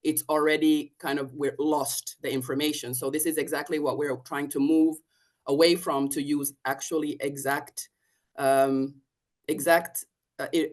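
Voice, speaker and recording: Hesitant Finnish-born woman on a webinar. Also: low voice. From Finland. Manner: hesitant, many fillers and restarts. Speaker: European Commission policy officer. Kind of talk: webinar panel answer.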